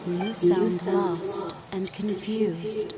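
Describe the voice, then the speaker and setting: A woman voicing a mysterious, serene, all-knowing figure who speaks in solemn, measured riddles in a video game. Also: medium pitch, fairly even intonation, loud music behind the voice.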